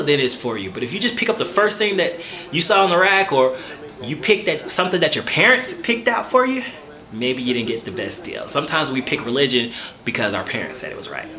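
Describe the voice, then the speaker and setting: A man's voice: fairly high pitch, sing-song, a little fast, bright, forceful tone, echoey room. A man giving an earnest, encouraging talk to camera, repeating words as he thinks. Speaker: spiritual vlogger.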